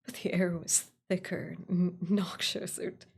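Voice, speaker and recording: Horror radio play: haunted woman survivor, performed by a woman, hushed and halting, gripped by dread. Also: lowish voice, little pitch movement, exaggerated voice. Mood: shaken, haunted. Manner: halting, breathy whispering, drawn-out words. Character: terrified woman camper recounting a horror, possessed by fear.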